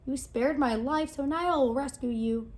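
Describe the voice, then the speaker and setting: A woman's voice: high-pitched, normal speed, a little mellow, natural voice. A woman voicing a tiny, brave female mouse who speaks politely and eagerly.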